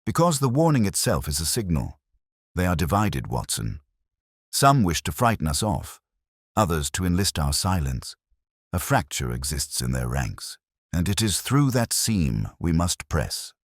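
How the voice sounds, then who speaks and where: A man's voice: low-pitched, big pitch swings, measured pace, no echo, clean studio sound, exaggerated voice. A man voicing a brilliant, unyielding Victorian London detective in an audiobook, formal and decisive in his speech. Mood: keen, deducing.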